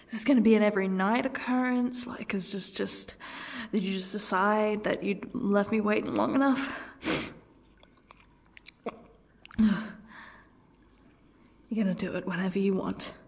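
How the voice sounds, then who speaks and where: A woman playing a sarcastic, defiant captive in an ASMR roleplay, swearing and slipping into breathy half-whispers. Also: medium pitch, steady tone, brisk, few pauses, close to an everyday voice. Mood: weary, bitter.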